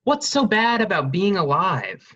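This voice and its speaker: A man's voice: high voice, very animated, measured pace, sharp tone, full character voice. A man playing a pragmatic, loyal friend and reluctant accomplice in a staged reading with dull sound. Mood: pleading.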